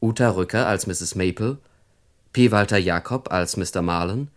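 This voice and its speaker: A male radio announcer with a low voice reads scripted credits. His delivery is formal and measured.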